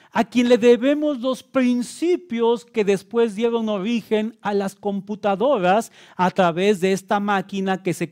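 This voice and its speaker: A man delivers a scripted faith lesson in a high voice. His pitch swings widely for emphasis, and he takes his time, placing deliberate pauses between phrases like a preacher making an argument. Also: darkish tone. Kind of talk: scripted teaching monologue with quotations.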